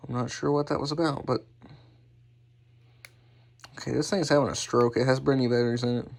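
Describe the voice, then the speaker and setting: A man presenting his hobby collection in a casual, unscripted way, halting and often correcting himself as he goes. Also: medium pitch, fairly even intonation, gravelly. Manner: halting, offhand, self-correcting. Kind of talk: casual unscripted show-and-tell.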